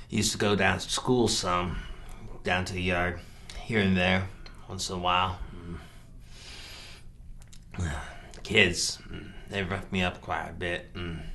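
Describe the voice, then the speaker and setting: A man performing a film monologue as a simple rural killer, halting and deadpan, grunting "mm" between short phrases. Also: deep voice, slight background noise, full character voice. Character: slow, simple, flat-voiced rural killer confessing his past. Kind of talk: improvised film monologue reenactment.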